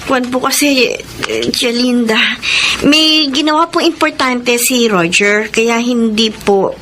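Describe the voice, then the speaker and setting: A woman's voice: on the high side, slow, a crowd in the background. An actress with a Filipino accent playing an anxious, wounded, pleading young live-in girlfriend in a radio melodrama. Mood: defensive. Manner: emotional, pleading. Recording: clear background noise on broadcast.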